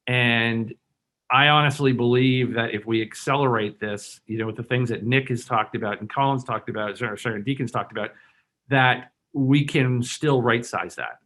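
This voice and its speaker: A man's voice: medium pitch, normal speed, a bit bright. A man who grew up in Hong Kong, speaking as a bank executive on a webinar panel, earnest and persuasive with many restarts and "you know" fillers.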